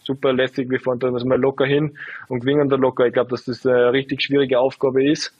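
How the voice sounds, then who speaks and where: A man in his twenties, a footballer, answering interview questions in a relaxed, halting way full of fillers. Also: mid-range voice, husky, no echo, lo-fi sound. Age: 20s. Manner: hesitant, lots of fillers, relaxed. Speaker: footballer guest.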